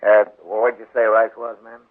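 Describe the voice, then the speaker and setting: An actor playing a steady, probing marshal in a 1950s radio western, speaking in a measured, laconic, questioning way. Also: male voice, on the low side, no echo, natural voice. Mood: polite, reserved. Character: steady, probing Old West marshal, a grown man. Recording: muffled 1950s radio broadcast recording.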